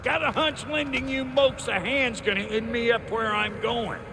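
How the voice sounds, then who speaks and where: An actor playing a crude, gruff, wisecracking dwarf smith in a video game, with a gravelly, folksy, slangy drawl. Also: male voice, extremely high pitch, fairly even intonation, moderate pace, noisy background. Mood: cocky banter. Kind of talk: video game character acting.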